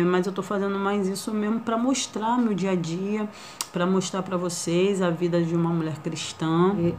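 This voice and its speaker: Rio woman in her 30s, kinda low voice, shy and halting, lots of fillers, faint room hum behind. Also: warm tone. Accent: Rio de Janeiro Portuguese. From Brazil. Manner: shy, hesitant, many fillers and tag questions. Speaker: vlogger. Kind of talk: casual first-vlog introduction. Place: small living room indoors.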